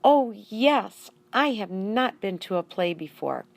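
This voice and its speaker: A woman's voice: mid-range voice, lively intonation, takes it slowly, vocal fry, voice pushed up. Woman as a shy, polite little schoolgirl. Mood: delighted.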